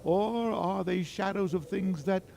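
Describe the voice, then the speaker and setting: An actor playing an old miser in a theatrical, exclamatory radio drama style, full of impassioned feeling. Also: male voice, high-pitched, a little slow, gravelly, darkish tone, slight background noise. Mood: fearful, sombre. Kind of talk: golden age radio drama acting.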